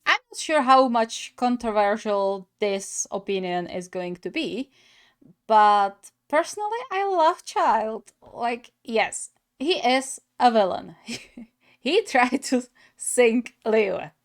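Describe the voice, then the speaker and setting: A woman's voice: on the high side, expressive, slow. A woman hosting a gaming reaction video with casual, playful, musing commentary. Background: music.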